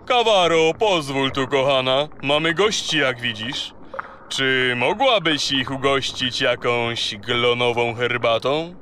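A man's voice: medium pitch, takes it slowly, bright, forceful tone, slight background noise. A male actor playing a proud, imperious yet gracious sea king in a children's audio play, with a theatrical, courtly manner.

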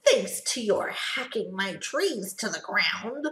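A woman doing a croaky, scolding little old forest guardian. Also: medium pitch, lively intonation, takes it slowly, fairly bright tone. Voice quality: croaky, coughing. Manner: scolding, querulous. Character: small grumpy old male forest guardian. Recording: echoey room.

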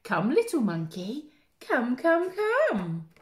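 Sweet helpful butterfly a lady does, high sing-songy and slow, echoey room. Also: wildly swooping pitch, exaggerated voice. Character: kind helpful little butterfly, eager and gentle. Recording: roomy echo.

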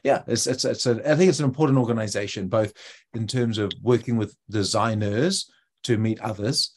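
A man's voice: lowish voice, normal speed. A man with a New Zealand accent giving an informal talk as a guest speaker over a video call, with hesitant, conversational phrasing. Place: indoor room on a video call.

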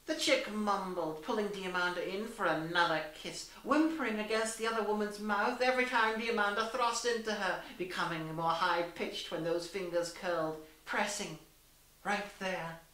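A young woman giving a deliberate, theatrical dramatised reading of fan fiction, with emphatic character dialogue. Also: medium pitch, fairly bright tone. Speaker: reader and host in costume. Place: small plain room indoors. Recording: roomy echo, distant camera microphone.

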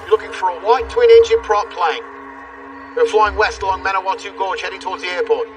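Brief: actor playing a higher-pitched tower officer, calm instructions, distant mic. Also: male voice, a little fast, faint music behind, natural voice. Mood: steady. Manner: steady, reassuring instructions. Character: calm male control tower officer on the phone. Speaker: reenactment actor.

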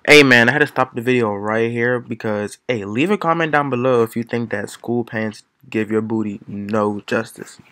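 A man's voice: mid-range voice, moderate pace, hardly any pauses, pushed and bright, a little hiss or hum. A teenage boy hosting a casual joking interview in a school hallway, talking into a phone. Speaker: host.

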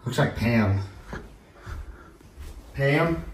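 A man's voice: mid-range voice, lively intonation, extremely slow. A man making casual, off-hand remarks as a companion on a tour. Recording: echoey, far from the mic.

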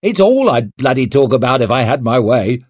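A man voicing a blunt, gruff young male lord and war hero in his twenties. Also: medium pitch, big pitch swings, normal speed, a little mellow, dry recording.

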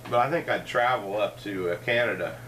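A man's voice: lowish voice, brisk, pushed and bright. A middle-aged man hosts a loose, meandering chat at home, joking and drifting between asides. He sounds somewhat distant from a camera mic across the room. A faint wash of room noise sits underneath. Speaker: show host. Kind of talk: rambling casual chat. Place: cluttered living room, indoors. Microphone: fixed camera across the room.

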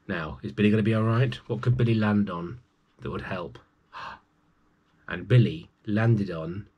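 A man's voice: low-pitched, darkish tone, slightly rough. A man in his forties reads a children's story aloud, adding chatty asides to his young listeners. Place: small room at home with bookshelves.

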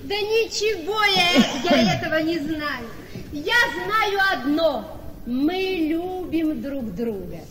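Effusive naive young wife, extremely high voice, swooping intonation, theatrical radio drama. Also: female voice, animated, slow pace. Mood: blissfully confident. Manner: effusive, exclamatory. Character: gushing, naive, trusting young married woman in love. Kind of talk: theatrical radio play acting. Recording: echoey reverberant hall, crowd sounds behind.

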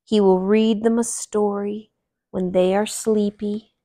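A woman in her thirties reading a picture book aloud to young children in an expressive, animated storytelling way. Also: mid-range voice, slow. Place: small living room, indoors. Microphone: clip-on lapel mic.

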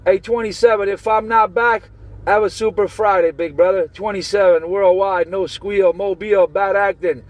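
A man's voice: sits high, fairly even intonation, normal speed, rough recording. A man chatting on a CB radio, slangy and swearing, trading call signs. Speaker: CB radio operator. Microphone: radio transceiver mic. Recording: transmitted over CB radio.

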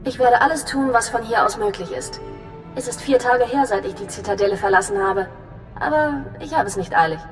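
An actress playing a serious, composed imperial intelligence officer giving a briefing in a sci-fi game, crisp and official. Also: female voice, a little high, fairly quick, lightly acted. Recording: reverberant hall, music behind.

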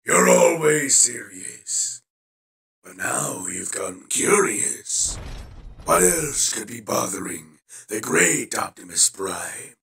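Villainous robot warlord, gravelly, swooping pitch, mocking drawl, theatrical, cartoon fan dub. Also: male voice, very animated, takes it slowly, fairly bright tone, exaggerated voice. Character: sardonic, swaggering villainous giant robot warlord. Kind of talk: animated fan dub acting. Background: musical score.

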